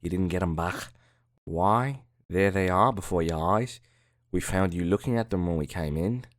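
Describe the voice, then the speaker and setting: A man voicing a proud, quick-tempered Egyptologist in a dramatic audiobook, firing emphatic, scornful questions. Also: sits low, dry recording, clean studio sound, natural voice. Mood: urgent, incredulous.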